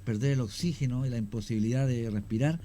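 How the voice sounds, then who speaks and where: A man with a Chilean accent, a guest on a radio talk show, telling stories in a measured way with many hesitations. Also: mid-range voice, mellow. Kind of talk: radio interview storytelling.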